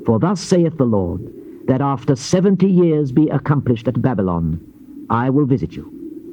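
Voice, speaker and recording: An actor playing a grave Old Testament prophet in a dramatized scripture reading, declaiming in measured, archaic phrases. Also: male voice, mid-range voice. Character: solemn male Old Testament prophet proclaiming divine judgment. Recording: dull, noisy old cassette tape.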